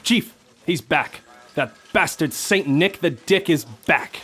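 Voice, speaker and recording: A man's voice: fairly high pitch, lively intonation, takes it slowly, bright, forceful tone, husky, exaggerated voice. A man playing a dogged, melodramatic detective, narrating a noir parody radio drama in an earnest, dramatic way. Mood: grim, vengeful. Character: brooding hard-boiled male detective narrator, melodramatic, dogged. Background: music.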